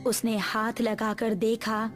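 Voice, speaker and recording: A woman's voice: on the high side, monotone, slow pace, soft background music. A woman reading a scripted horror story as its narrator.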